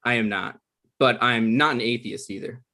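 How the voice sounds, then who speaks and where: A man's voice: mid-range voice, fairly even intonation, normal speed, pushed and bright. Man acting out awkward, evasive guy in his thirties. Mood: earnest bluffing. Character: awkward, evasive 35-year-old man bluffing on a date. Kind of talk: comedy table read.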